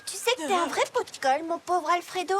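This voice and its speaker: A woman voicing a bright, theatrical little girl in an animated cartoon, speaking expressively and articulately. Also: very high voice, quick pace, background noise. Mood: exasperated. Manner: expressive, dramatic. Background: music.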